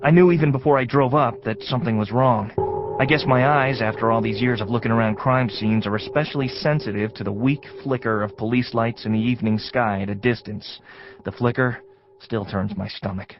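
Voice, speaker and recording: Actor as mid-pitched, weary forensic detective. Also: male voice, fairly quick, muffled recording, music in the background, close to an everyday voice. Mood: brooding, reflective. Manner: noir-style, informal, reflective. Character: weary, sympathetic male forensic detective narrating his case. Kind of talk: audio drama acting and narration.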